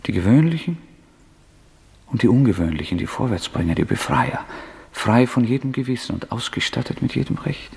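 A man's voice: medium pitch, lively intonation, normal speed, vocal fry, darkish tone. An actor playing an intense intellectual radical, declaiming his theory emphatically in 1960s German radio drama style. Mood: fervent. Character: intense male intellectual radical, fervently preaching his theory of extraordinary men. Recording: long hall reverb on voice.

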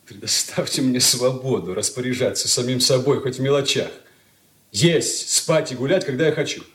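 A haughty young man, played by an actor as a merchant's son in a Soviet radio play. He is irritable and dismissive. His voice has an ordinary middle pitch. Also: moderate pace, a bit bright, close to an everyday voice. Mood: irritated. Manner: dismissive, disdainful. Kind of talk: Soviet radio drama acting.

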